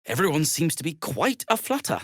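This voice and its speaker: An actor playing an anxious, devoted gnome inventor in a video game, speaking in a hesitant, politely formal way. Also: male voice, a little high, big pitch swings, fairly quick, fairly bright tone, slightly rough, dry recording, clean studio sound, heavily acted. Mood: flustered, urgent. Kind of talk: video game character acting.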